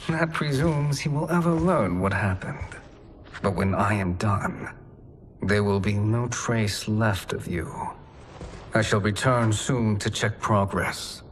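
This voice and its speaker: Slow, menacing sorcerer villain. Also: male voice, lowish voice, slight background noise. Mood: smug, gloating. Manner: menacing, formal, deliberate. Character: sinister sorcerer villain, cruel and smugly confident. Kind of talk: dramatic video game voice acting.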